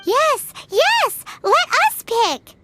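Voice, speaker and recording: A woman voicing a tiny, excitable female fairy sidekick in a video game, squeaky, childlike and cheeky. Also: very slow, exaggerated voice. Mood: excited. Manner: refers to self in third person, cheeky. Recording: studio voice over prominent music.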